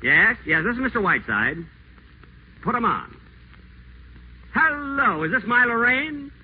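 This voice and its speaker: An actor playing an acerbic, pompous radio celebrity who schemes, in a 1940s radio play. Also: male voice, a little high, sing-song, moderate pace, husky, exaggerated voice. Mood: honeyed. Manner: sardonic, theatrical, wheedling on the phone. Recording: tinny old radio broadcast, faint hiss.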